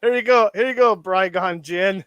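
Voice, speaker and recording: A man's voice: sits high, moderate pace, highs cut off, crowd noise. A man co-hosting a live stream in casual chat, laid-back and joking, laughing often. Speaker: stream co-host.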